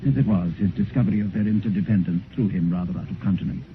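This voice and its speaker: Man playing a quick, cynical English aristocrat, old radio. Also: lowish voice, lightly acted. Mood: wry narration. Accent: upper-class English. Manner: languid, epigrammatic, amused condescension. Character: cynical, witty, urbane English gentleman aristocrat. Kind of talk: 1950s radio drama acting. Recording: tinny, noisy 1950s radio recording.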